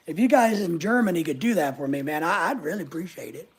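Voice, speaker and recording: A middle-aged man with a high voice often taken for a woman's, chatting casually and joking at his own expense while reading viewer comments. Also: animated, fast, husky. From United States. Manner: chatty, joking, self-mocking. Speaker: channel host reading live chat. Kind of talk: casual livestream chat.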